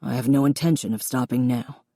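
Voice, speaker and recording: Woman voicing a quiet, very low, remorseful father. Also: fairly even intonation, brisk, no echo, clean studio sound. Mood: earnest, loving. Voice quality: reedy, quiet. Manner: earnest, gentle. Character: remorseful, loving father of grown children, older man. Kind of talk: audiobook character dialogue.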